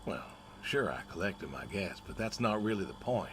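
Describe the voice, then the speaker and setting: A very deep older farmer, talking in a folksy, stubborn, wry way. His pitch moves expressively as he meanders along. Clear ambient noise sits behind him in this naturalistic game scene. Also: very animated. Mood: wistful, wry. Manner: folksy, hesitant, unhurried storytelling. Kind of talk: naturalistic video game acting.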